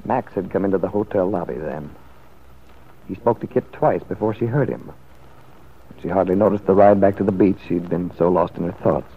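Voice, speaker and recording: A male narrator of a 1940s-style radio serial, reading scripted story. His voice is low and slightly rough, and the recording is muffled and narrow like an old broadcast. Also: moderate pace.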